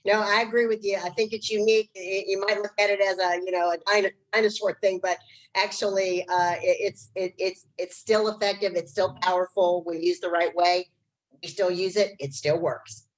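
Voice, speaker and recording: A woman's voice: mid-range voice, fairly even intonation, normal speed, poor audio. A middle-aged woman, a guest business trainer, gives conversational instruction in a plain-spoken, emphatic manner.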